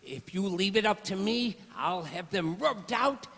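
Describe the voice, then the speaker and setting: A man's voice: sits high, expressive, unhurried, a bit bright, exaggerated voice. A man playing a scheming, hot-tempered gangster henchman in a comic radio play, stammering and slangy. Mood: wheedling, scheming. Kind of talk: old-time gangster radio play acting. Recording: very echoey hall recording.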